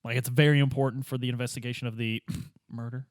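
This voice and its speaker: A man's voice: medium pitch, level tone, fast talker, clear, resonant tone, dry recording. A man improvising as a detective in a tabletop game, casual, hesitant and slangy. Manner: hesitant, slangy, laid-back. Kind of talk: casual improvised role-play.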